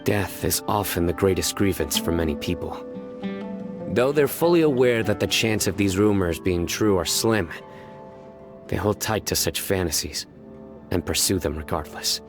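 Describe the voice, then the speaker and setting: A man voicing a stern, calm young male judge who speaks in a measured, grave and terse way in a video game. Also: deep voice. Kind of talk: game voice acting. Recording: game audio with music behind.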